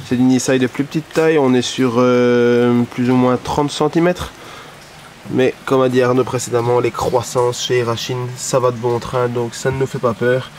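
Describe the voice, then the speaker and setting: Medium-pitched man presenting amid background noise. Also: darkish tone. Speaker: co-presenter and koi buyer. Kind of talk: casual vlog commentary. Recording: clear background noise, car sounds.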